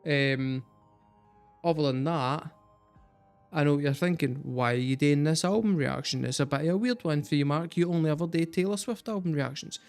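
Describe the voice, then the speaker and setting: A man's voice: fairly high pitch, normal speed, darkish tone. A Scottish man hosting a music reaction video, chatting casually and swearing freely. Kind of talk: casual chat to camera.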